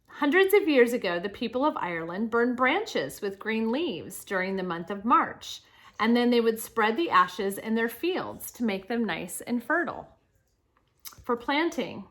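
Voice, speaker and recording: A woman's voice: a little high, big pitch swings, measured pace, fairly bright tone. A woman teacher reading a picture book aloud to children, explaining things and speaking to her young listeners directly with casual asides.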